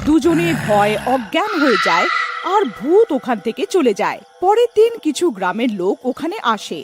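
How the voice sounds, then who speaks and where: Lively high-voiced woman narrator. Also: moderate pace, over loud music. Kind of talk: storybook narration for a cartoon.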